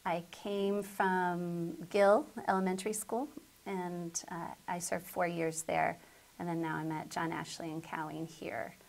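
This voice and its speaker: A middle-aged woman with an American accent answers as an interview guest. Her voice sits a little low and has a clear creak of vocal fry. She talks conversationally, as a school principal. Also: little pitch movement, unhurried. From southern Connecticut, United States.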